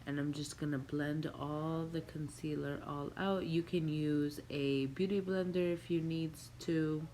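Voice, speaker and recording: A young woman with a stuffy nose from a cold, chatting relaxed to viewers as she walks through a makeup tutorial at home. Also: deep voice, unhurried, hardly any pauses, slight background noise. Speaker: beauty vlogger. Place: home room with a Christmas tree.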